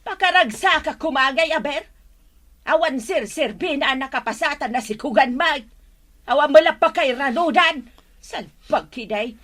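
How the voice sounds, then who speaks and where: A scolding radio drama mother with a very high, piercing voice. She nags and exclaims with harsh contempt, and the sound is sharp and dry. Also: expressive, normal speed, dry recording. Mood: scornful. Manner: nagging, exclaiming. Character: harsh, scolding mother who belittles her daughter. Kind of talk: Ilocano radio drama acting.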